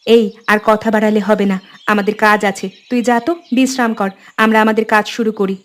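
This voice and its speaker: A woman voicing a bossy but affectionate village housewife, brisk and sisterly, in an animated story. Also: medium pitch, close to an everyday voice. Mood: brisk, scolding.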